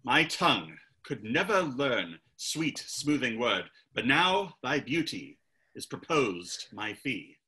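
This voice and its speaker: A man playing a hot-blooded Elizabethan playwright with a bright, lively voice that rises and falls widely. He declaims archaic verse with ardour and snaps into hissed insults. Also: medium pitch, takes it slowly, heavily acted, rough recording. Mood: pleading. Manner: declaims archaic verse, swings to furious hissing. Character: passionate young Elizabethan playwright, hot-tempered. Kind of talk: audio drama acting.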